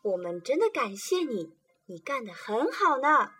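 A woman's voice: fairly high pitch, very animated, soft background music. Woman voicing kind children calling birds in. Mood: welcoming. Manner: warm, brisk and inviting.

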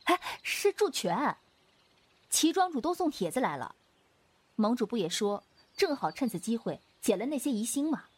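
A woman's voice: on the high side, very animated, normal speed, slightly rough, dry recording. A woman playing a playful, earnest young swordswoman in a Chinese wuxia radio drama, with a hesitant, coaxing, theatrical delivery. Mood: sheepish, coaxing.

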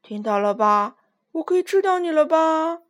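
A woman voicing a sly old male circus lion, slow and booming in a children's storybook reading. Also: lively intonation. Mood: menacing.

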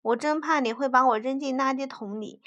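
Cheeky, eager teddy bear buddy, pitched up, played by a woman. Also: a little fast, a bit bright, dull audio. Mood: teasing. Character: playful excitable teddy bear friend.

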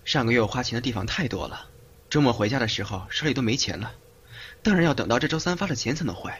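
Man acting a lively, nagging young boyfriend. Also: medium pitch, fast, slightly rough. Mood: grumbling. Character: breezy nagging young male undercover lover, affectionate, cocky. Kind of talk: amateur Chinese audio drama acting. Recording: hissy amateur home recording.

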